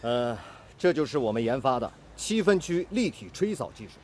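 A man's voice: mid-range voice, big pitch swings, moderate pace, darkish tone. A male actor playing a stubborn, inventive steel-mill technician and mentor in a Chinese radio drama. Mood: keen, explanatory.

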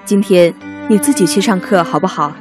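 A woman's voice: lowish voice, fairly quick, lightly acted. A woman acting in a radio drama as a busy mother of two, chiding but caring, with an admonishing maternal manner. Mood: hurried, firm. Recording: music bed under the voice.

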